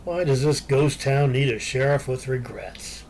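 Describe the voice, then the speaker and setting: An actor playing a weary older Old West sheriff in a western radio play, with an American accent and folksy drawl. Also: male voice, mid-range voice, a little slow, creaky, a little mellow, a little hiss or hum. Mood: weary, regretful. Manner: folksy, drawling cowboy speech.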